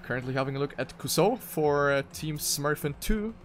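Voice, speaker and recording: Higher-voiced man commentating an esports race. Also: lively intonation, moderate pace, slight background noise. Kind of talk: live game commentary.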